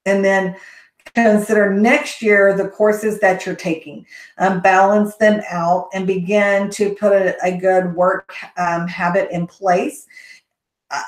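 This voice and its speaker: A woman with a Texas accent hosting a casual question-and-answer session on college admissions, rambling with frequent ums and restarts. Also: mid-range voice. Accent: Texan. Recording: echoey room.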